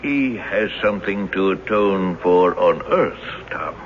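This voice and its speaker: An actor playing a calm, atoning ghost with a measured, reassuring manner in a radio drama. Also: male voice, deep voice. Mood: calm, assured. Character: calm, atoning male ghost of a former coworker. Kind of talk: old-time radio drama acting. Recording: muffled noisy radio drama recording.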